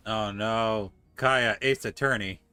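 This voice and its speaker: A man casually reacting out loud to a video game as he plays it. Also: lowish voice, steady tone, takes it slowly, smooth, no room sound. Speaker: game streamer. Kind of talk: casual live gameplay reaction.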